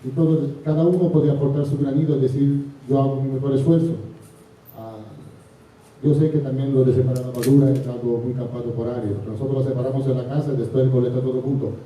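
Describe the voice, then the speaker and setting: A man from Italy with an Italian accent, an invited chef making an earnest, halting remark at a panel discussion. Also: medium pitch, fairly even intonation, dark tone, a little hiss or hum. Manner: earnest, halting, apologetic about his Spanish. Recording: echoey hall picked up from far off.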